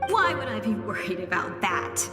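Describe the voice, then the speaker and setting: A woman's voice: mid-range voice, big pitch swings, normal speed, close to an everyday voice. A woman voicing a friendly, sporty young woman in an anime-style video game, playful and earnest. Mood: playful, wistful. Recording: long echoing reverb, music underneath.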